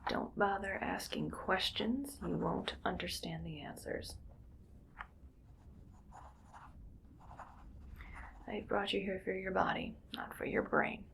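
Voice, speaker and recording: A woman playing a bossy, musing female mad scientist in a whispered ASMR roleplay, speaking in a breathy, clinical, quietly menacing way. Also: mid-range voice, poor audio. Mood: stern, commanding. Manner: slow musing, clinical, domineering. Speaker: ASMR performer.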